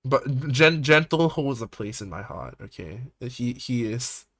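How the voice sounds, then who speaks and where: A man's voice: medium pitch, measured pace, no room sound. Young guy from singapore hosting a podcast, swears a ton, rambles, dry close sound. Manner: swears a lot, rambling and animated. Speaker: podcast host. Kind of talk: casual chat.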